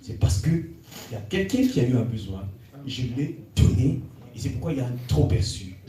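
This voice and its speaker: A man's voice: mid-range voice, sing-song, moderate pace, mellow. A man takes part in a church discussion, repeating himself and affirming what others say. His voice is picked up from a distance in a roomy, echoey hall. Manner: hesitant, repetitive, with affirming interjections.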